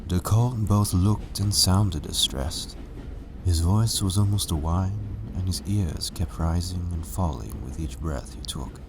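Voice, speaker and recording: A man's voice: sits low, unhurried, background noise. A man narrating a story as a scripted reading. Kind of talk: scripted fan fiction narration.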